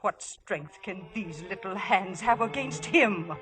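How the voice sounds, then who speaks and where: A woman's voice: mid-range voice, expressive, slow, a bit bright. An actress in a 1950s radio drama playing a bitter, protective old serving woman, grave and doleful. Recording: old radio broadcast with faint music.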